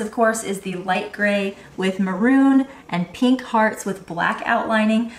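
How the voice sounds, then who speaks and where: A woman's voice: medium pitch, a little hiss or hum. Woman shop owner, nasal with a cold, gushing product vlogger; echoey room. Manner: bubbly, gushing enthusiasm. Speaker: online baby boutique owner reviewing bags. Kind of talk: product review vlog.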